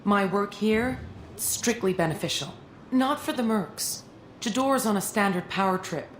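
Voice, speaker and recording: A woman playing an anxious lab scientist in a video game, chatty, hurried and self-justifying. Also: mid-range voice, lightly acted. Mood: defensive, reassuring. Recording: echoey room with background ambient noise.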